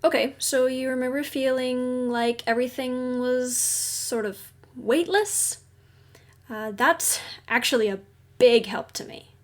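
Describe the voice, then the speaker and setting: A woman playing a caring, reassuring counselor at a secret base in an audio roleplay, speaking gently and explaining things soothingly. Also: high-pitched, slow pace. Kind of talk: audio roleplay addressed to the listener.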